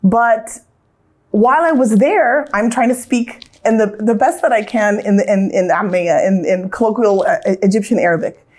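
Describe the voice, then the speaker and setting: A woman's voice: mid-range voice, moderate pace, darkish tone. An American woman from Southern California, in her thirties, reflecting earnestly on her life in a casual, storytelling way, with frequent restarts.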